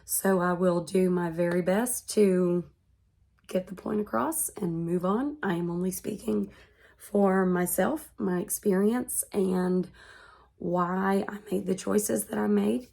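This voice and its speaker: A woman in her thirties, congested and hoarse from being sick, talking earnestly to her vlog audience. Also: mid-range voice, steady tone, dark tone. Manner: slow, earnest and measured. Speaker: vlogger. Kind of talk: personal vlog monologue.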